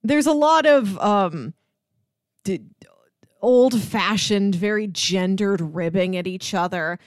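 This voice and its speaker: A woman's voice: medium pitch, takes it slowly, no echo, studio quality. A woman chatting casually and at length as a podcast co-host about films, peppering her talk with "like". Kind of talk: casual film discussion chat.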